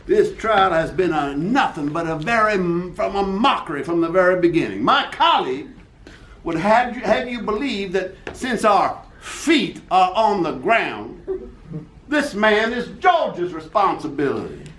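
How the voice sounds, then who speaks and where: A man's voice: sits high, fairly bright tone, echoey room, heavily acted. A man voicing a theatrical Southern lawyer who pleads emotionally to a jury. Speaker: defense attorney Mister Lee. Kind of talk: amateur radio play reading.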